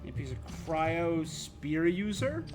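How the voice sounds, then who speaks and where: Guy streaming a game, kinda high voice, casual offhand comments, music going behind him. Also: very animated, takes it slowly. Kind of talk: casual livestream reactions to a story game.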